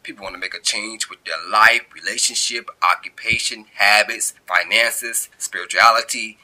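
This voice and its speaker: A man's voice: on the low side, normal speed, bright, forceful tone, low-quality recording. A man narrating a scripted short story of his own in informal vernacular phrasing. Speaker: amateur author reading own story.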